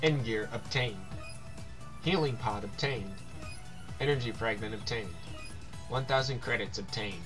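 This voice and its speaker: A man's voice: lowish voice, measured pace, long pauses, over music. A man doing casual game commentary, reading on-screen text aloud and stumbling over words now and then.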